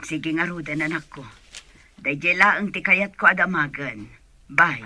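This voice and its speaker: A woman's voice: sits low, a little slow, pushed and bright, heavily acted. A woman playing a middle-aged mother talking with her daughter in an Ilocano radio drama. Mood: motherly.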